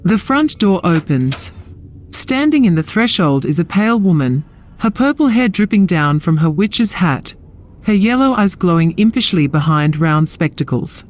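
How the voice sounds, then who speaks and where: A woman's voice: lowish voice, big pitch swings, normal speed. A woman narrating a scripted fantasy audio drama in a descriptive storytelling style. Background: music.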